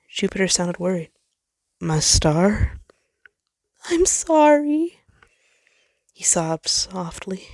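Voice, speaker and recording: A woman's voice: lowish voice, no echo, studio quality. A woman reading a story aloud as narrator, in a dramatic scripted style. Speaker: fan fiction narrator.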